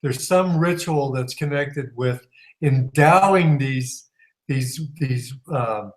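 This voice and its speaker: A man appearing as a guest on a talk show, his voice sitting in an ordinary middle pitch. He talks haltingly, stopping often on filler sounds as he works out his ideas aloud. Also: rough recording. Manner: hesitant, many "uh"s, speculative.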